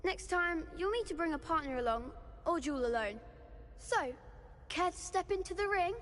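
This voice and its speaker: An actor playing an eager schoolboy duel organiser in a video game, speaking with theatrical, formal enthusiasm. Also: male voice, extremely high pitch, animated, moderate pace, fairly bright tone. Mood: inviting. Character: eager, high-voiced schoolboy who coordinates a dueling club. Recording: long reverb, noisy game ambience.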